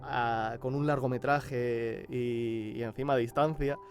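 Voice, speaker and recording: A man's voice: medium pitch, fairly even intonation, a little slow, soft background music. A man giving a casual personal account as a voice actor. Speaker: amateur voice actor. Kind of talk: casual interview testimonial.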